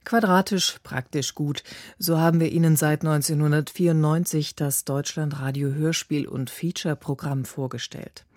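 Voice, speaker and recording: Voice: medium pitch, steady tone, moderate pace, a little mellow, dry recording, studio quality. A radio announcer reading a scripted programme preview. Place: dry studio.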